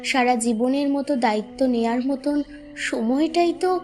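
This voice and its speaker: Cartoon role: woman as sorrowful, kind young woman, distinctly high voice, plaintive, over music. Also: moderate pace, a little mellow, natural voice. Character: compassionate, sorrowful young woman telling her letter. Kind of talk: cartoon drama letter monologue. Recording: music behind the voice.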